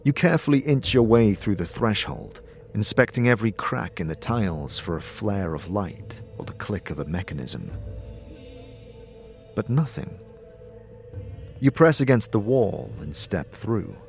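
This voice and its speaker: Low-voiced man narrating a dramatic tale. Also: very animated, a little slow, soft background music. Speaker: narrator, game master. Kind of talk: dramatic scripted second-person narration.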